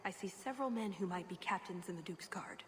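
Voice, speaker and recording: An actress playing a plucky, optimistic schemer with a playful yet earnest manner in a video game. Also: female voice, lowish voice, quick pace, in a large hall, a little hiss or hum, close to an everyday voice. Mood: scheming, hopeful.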